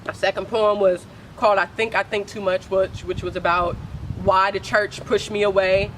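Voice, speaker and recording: A young woman from Oakland with a deep voice, speaking candidly as a poet guest in a casual interview, full of slang and swearing. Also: little pitch movement, normal speed, slight background noise, low-quality recording. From Oakland, California.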